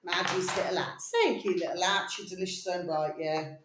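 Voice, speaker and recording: A tired woman video blogger chatting casually and ramblingly to her viewers, pausing often and repeating pet endearments. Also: lowish voice, fairly even intonation, background noise. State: mentally tired, drained. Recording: echoey, roomy sound.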